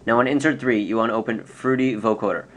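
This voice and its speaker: A man giving an unscripted, casual software tutorial, explaining step by step and correcting himself as he goes. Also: mid-range voice, fast talker. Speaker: tutorial presenter. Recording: clear background noise.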